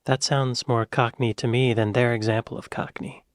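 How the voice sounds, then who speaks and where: American guy reacting, excitable then muttering, dry close mic. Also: medium pitch, moderate pace, no echo. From United States. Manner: chatty, excitable, drops to muttered asides. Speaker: reaction video host. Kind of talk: casual reaction commentary.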